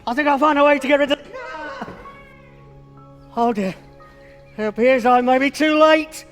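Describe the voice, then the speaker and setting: A man's voice: very high-pitched, moderate pace, a bit bright. A man playing a worried rescuer searching for a princess in a stage pantomime. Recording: long hall reverb, faint music.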